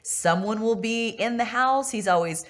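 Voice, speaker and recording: A woman's voice: on the high side, normal speed. A woman hosting a conversational interview, speaking in an effusive, affirming way to her guest. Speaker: interview host.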